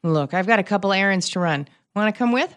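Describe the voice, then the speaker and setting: An actress playing a casual, teasing neighbor in an audio drama. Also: female voice, medium pitch, expressive, quick pace, close to an everyday voice. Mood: breezy. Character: breezy, familiar female neighbor.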